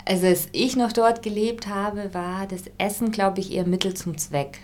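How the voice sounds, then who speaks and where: A woman's voice: medium pitch, steady tone, normal speed, a little mellow, a little hiss or hum. A woman from Ukraine, answering interview questions thoughtfully, hesitating and searching for words. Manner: thoughtful, hesitant, many fillers and restarts.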